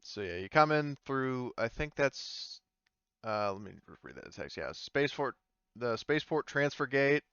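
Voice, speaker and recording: A man acting as game master, casually narrating a tabletop game and often pausing to think aloud. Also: medium pitch, lively intonation, fairly bright tone, clear, resonant tone, no room sound, highs cut off.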